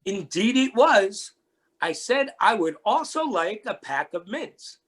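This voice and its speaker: An amateur reader playing a lonely, polite man who speaks formally and deliberately in a readers theatre story. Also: medium pitch, sing-song, fairly bright tone, husky, exaggerated voice. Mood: courteous, hopeful. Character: Mister Hatch, lonely polite man opening up in wonder. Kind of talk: readers theatre character reading.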